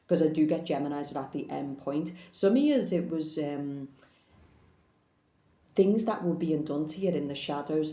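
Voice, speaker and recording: A woman's voice: low voice, warm tone, echoey room. A woman giving a casual, blunt tarot reading, speaking frankly and swearing now and then. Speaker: tarot reader.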